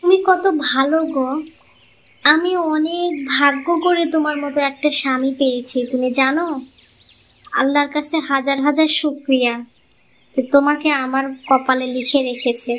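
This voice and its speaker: High-pitched scheming young village wife played by a woman. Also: fairly even intonation, normal speed, fairly bright tone. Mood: sweet, coaxing. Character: selfish, greedy young village wife, sweet-talking but secretly resentful. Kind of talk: cartoon moral-story voice acting.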